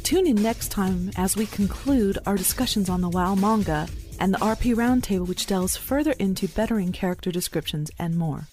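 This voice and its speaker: A woman's voice: mid-range voice, moderate pace, a little mellow, faint music behind. A woman reading a scripted podcast introduction as announcer. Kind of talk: scripted narration.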